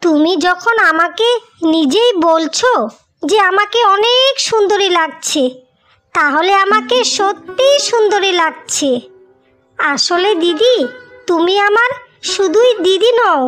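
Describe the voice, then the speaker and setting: A woman voicing an affectionate, excitable little sister in a cartoon fairy tale, gushing and repeating herself. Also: very high-pitched, slow pace, soft background music, heavily acted. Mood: tender, grateful.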